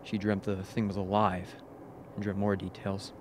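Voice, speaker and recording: A man playing a gruff, bitter expedition crewman in an amateur radio play. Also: low-pitched, completely flat delivery, moderate pace, slight background noise, close to an everyday voice. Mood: bitter, brooding.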